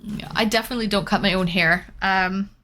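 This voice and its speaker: Bright-voiced chatty Canadian woman streamer. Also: mid-range voice, steady tone, fairly quick, a little hiss or hum. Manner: chatty, reads out viewer comments. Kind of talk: casual chat while painting.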